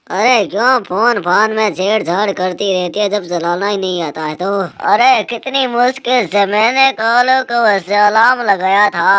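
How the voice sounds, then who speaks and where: A woman's voice: medium pitch, a bit bright, smooth, close to an everyday voice. A woman voicing an elderly father-in-law bird in a children's cartoon, fussy and given to grumbling. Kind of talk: Hindi children's cartoon voice acting.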